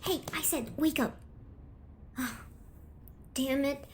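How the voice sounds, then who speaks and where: High-voiced, fussing young girlfriend played by a woman. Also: extremely slow. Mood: exasperated, worried. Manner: colloquial, fussing. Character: worried, casual young girlfriend, mildly exasperated but caring. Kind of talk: intimate ASMR roleplay.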